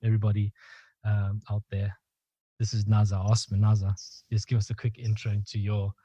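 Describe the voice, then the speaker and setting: A man's voice: fairly low pitch, completely flat delivery, brisk, mellow, husky, no echo, muffled recording. A young man from Malawi hosting a podcast, chatting casually and laughing often between filler words. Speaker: podcast host. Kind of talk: casual interview chat.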